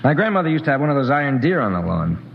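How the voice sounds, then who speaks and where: An actor playing a nervous, chatty spaceship lieutenant, restless and informal, in a 1950s radio drama. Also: male voice, medium pitch, sing-song, rapid-fire, creaky, a little hiss or hum. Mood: nostalgic. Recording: dull old radio recording.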